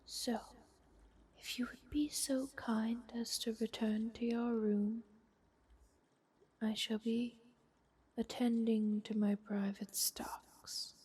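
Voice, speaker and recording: A woman voicing an ancient, haughty vampire countess in a soft, whispered fantasy ASMR roleplay, with a formal, measured, wry manner. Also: a little high, little pitch movement, slightly rough, exaggerated voice. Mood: formal, resigned. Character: ancient, youthful-looking vampire countess, haughty, coolly bargaining.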